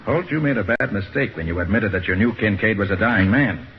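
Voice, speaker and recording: A man's voice: on the low side, lively intonation, a little fast. An actor playing a shrewd, confident private detective in a 1940s radio drama, assured as he lays out the facts. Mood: accusing. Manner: assured, explanatory. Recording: muffled, noisy old radio recording.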